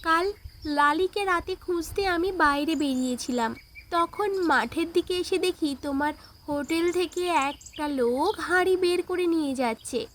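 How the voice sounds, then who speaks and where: A woman's voice: very high voice, unhurried, a bit bright, a little hiss or hum. A woman voicing a brave, earnest village girl in a fairy-tale cartoon, reassuring and resolute. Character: brave, determined village girl who hunts a thief.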